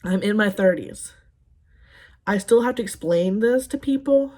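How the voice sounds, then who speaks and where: A woman's voice: medium pitch, expressive, a little slow, dark tone. A woman in her thirties chatting casually while doing her makeup, telling rambling, sarcastic dating stories with lots of ums. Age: 30s. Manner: sarcastic, exasperated storytelling. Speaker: beauty vlogger. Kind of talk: casual get-ready-with-me chat.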